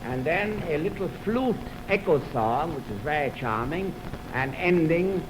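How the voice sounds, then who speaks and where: A man's voice: on the high side, lively intonation, measured pace. A man giving a formal, anecdotal talk as host of a music program, in the style of 1920s radio. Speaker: orchestra conductor hosting a radio music program. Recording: noisy 1929 radio aircheck from optical film.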